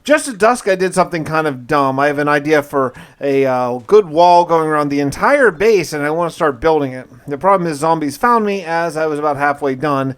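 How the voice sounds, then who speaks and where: A man's voice: on the high side, lively intonation, fairly quick, few pauses, clean tone. A man narrating a survival game playthrough in a conversational, first-person storytelling way, as a roleplaying video host. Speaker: gaming video host and player. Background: music.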